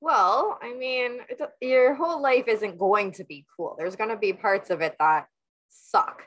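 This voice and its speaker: A woman's voice: fairly high pitch, unhurried, a bit bright. A woman hosting a podcast interview, upbeat and informal in her questions. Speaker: podcast host.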